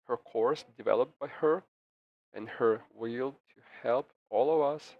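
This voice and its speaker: A man giving live interpretation of a press briefing, halting with frequent restarts and fillers. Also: medium pitch, vocal fry, no room sound. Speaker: simultaneous interpreter. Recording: slightly muffled with faint background noise.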